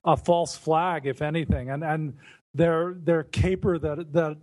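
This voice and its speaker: A man's voice: on the high side, steady tone, a little slow, darkish tone. An American man hosting a casual podcast conversation, chatty and amused, stumbling over how he starts his sentences. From United States.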